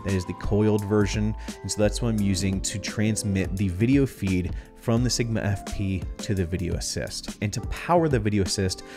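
A man's voice: fairly low pitch, moderate pace, few pauses, over music. A young man with a stuffed-up, nasal voice, casually walking through his camera gear as a filmmaking channel host. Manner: casual, chatty gear talk. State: congested, stuffed up. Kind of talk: gear review vlog. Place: dim home studio. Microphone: studio mic on boom arm.

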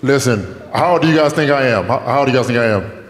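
A stand-up comic in his twenties talks loosely and profanely, chatting with the crowd between stories. His mid-pitched voice rings out across a club with a long, echoing reverb. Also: animated, fast, background noise. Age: 20s. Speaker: stand-up comedian. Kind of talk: stand-up comedy set. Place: comedy club stage. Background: audience laughter.

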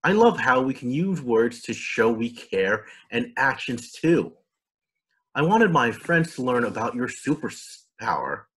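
A man voicing a kind, reassuring dragon in a storybook, gentle and encouraging. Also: mid-range voice, very animated, a little slow, slightly rough, exaggerated voice, low-quality recording. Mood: warm praise.

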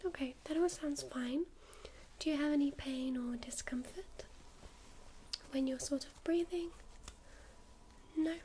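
A woman whispering softly in a gentle, reassuring ASMR medical roleplay, calmly talking a listener through a check-up step by step. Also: high voice, unhurried, pauses often. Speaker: ASMR creator playing a first-aid medic.

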